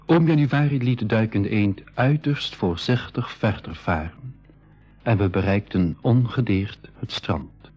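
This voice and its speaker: An actor playing a young adventurer who tells and takes part in a school radio play story. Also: male voice, lowish voice, darkish tone, husky. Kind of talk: radio play narration and dialogue. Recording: dull 1980s radio drama recording.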